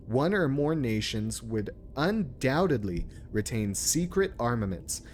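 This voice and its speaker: A man's voice: medium pitch, darkish tone, slight background noise. A man streaming a game, commenting casually and reading text aloud in an informal, sometimes stumbling way.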